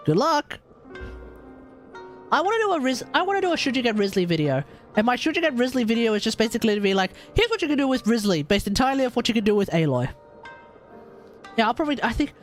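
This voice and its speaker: A woman streamer giving casual gameplay commentary, excitable and prone to repeating herself. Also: a little high.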